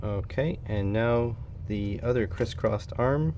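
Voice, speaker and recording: A man's voice: mid-range voice, slow, darkish tone. A man with a Canadian accent chatting casually on a live stream, rambling and thinking aloud as he works on a hobby project. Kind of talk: casual live stream chat while working. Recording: dull, slightly lo-fi with faint noise.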